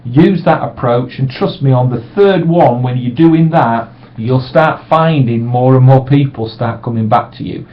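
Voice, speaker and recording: A man's voice: medium pitch, measured pace. A middle-aged man, giving a casual, reassuring sales training talk from a small room. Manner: relaxed, reassuring, acts out sample voicemails brightly. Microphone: webcam microphone. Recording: dull lo-fi webcam audio.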